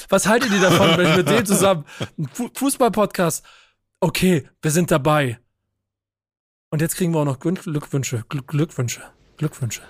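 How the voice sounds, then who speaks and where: A young man hosting a football podcast, energetic and joking, with casual swearing. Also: fairly high pitch, dry recording, clean studio sound. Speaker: podcast host. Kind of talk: casual chat.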